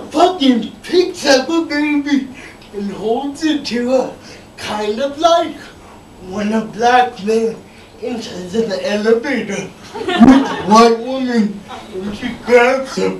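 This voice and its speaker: A young man performing stand-up comedy stories in a halting, drawn-out, effortful delivery. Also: very high-pitched, expressive. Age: young adult. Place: small dim comedy club stage indoors. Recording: noisy, echoey live recording from far off.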